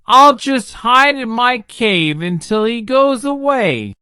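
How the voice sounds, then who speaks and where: Woman voicing a mild, high-pitched friendly dragon. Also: big pitch swings, slow pace, bright, forceful tone, smooth, no echo, clean studio sound, heavily acted. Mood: reluctant. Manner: mild, reluctant. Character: friendly, peaceable, easygoing storybook dragon.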